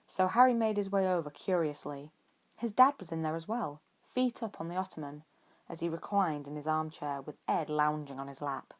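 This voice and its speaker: A woman narrating a story from a script in an expressive way, as an amateur audiobook reader. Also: low-pitched, moderate pace. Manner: acts out dialogue with expression.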